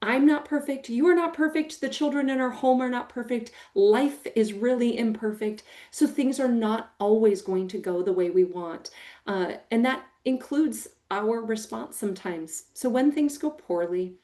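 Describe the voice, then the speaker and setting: Mellow, big-voiced American woman in midlife, an emphatic trainer. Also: on the high side, normal speed. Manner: expressive, emphatic, warm-hearted teaching with a big voice. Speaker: program manager giving a training talk. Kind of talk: recorded training talk to foster parents.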